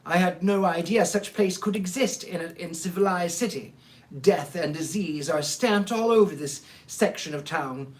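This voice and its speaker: An appalled gentleman doctor out of an old storybook , played by a man reading aloud. He speaks with horror and moral outrage at what he has witnessed. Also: high voice, moderate pace, natural voice.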